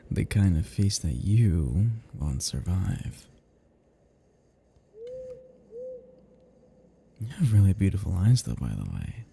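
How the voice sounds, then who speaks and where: A very dark, velvety-toned male vampire, performed by a man for roleplay ASMR, murmuring softly and slowly with a gravelly creak. He is seductive and possessive, teasing his prey with playful menace and swinging expressively in pitch. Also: sing-song, a little hiss or hum, exaggerated voice. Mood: hungry, seductive. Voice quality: low, soft, breathy murmurs dropping into whispers. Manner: teasing, slow, lingering, chuckling between phrases. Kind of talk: intimate roleplay ASMR monologue.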